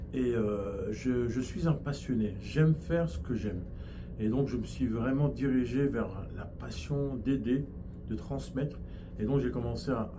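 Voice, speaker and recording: A man's voice: medium pitch, background noise. A man from France with a French accent, a hypnosis and NLP trainer explaining his field in an interview, with frequent restarts.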